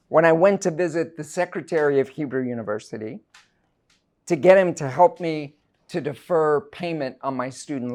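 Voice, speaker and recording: A middle-aged man from South Africa, a university professor delivering a prepared lecture in a measured, deliberate, scholarly way. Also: on the high side, darkish tone. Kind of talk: prepared academic lecture, read aloud.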